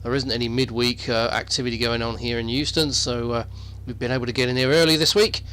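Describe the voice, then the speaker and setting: A man's voice: mid-range voice, fairly even intonation, quick pace, bright, forceful tone, slight background noise. A man hosting an informal, unscripted wrestling news roundup, talking in a hesitant, rambling way and often repeating himself. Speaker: wrestling news host and commentator. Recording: music playing behind the voice.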